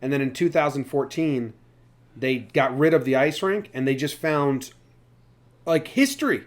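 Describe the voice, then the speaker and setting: A man's voice: mid-range voice, lively intonation, a little slow. A man hosting a sports podcast in a casual, chatty style. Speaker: podcast host.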